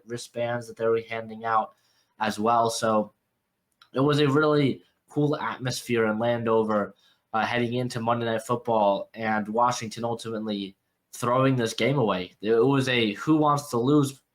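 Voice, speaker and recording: A young man hosting a football podcast, congested from a cold, rambling through a casual game breakdown and often repeating and correcting himself. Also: mid-range voice. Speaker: sports podcast host.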